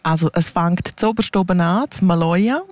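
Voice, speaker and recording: A woman's voice: on the low side, moderate pace, a little mellow, no room sound. Woman explaining her valley. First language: Romansh. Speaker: guest, regional radio editor. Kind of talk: casual interview.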